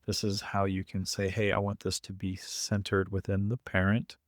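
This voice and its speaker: A man's voice: sits low, monotone, moderate pace, dry recording, studio quality. A man teaching in an informal step-by-step screen tutorial, thinking aloud as he works. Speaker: coding tutorial instructor.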